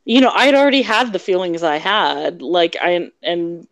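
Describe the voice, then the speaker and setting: A woman's voice: on the low side, animated, normal speed, no room sound, lo-fi sound. Candid woman guest, dry close sound. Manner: frank, candid, storytelling with fillers. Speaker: podcast guest. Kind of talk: casual interview chat.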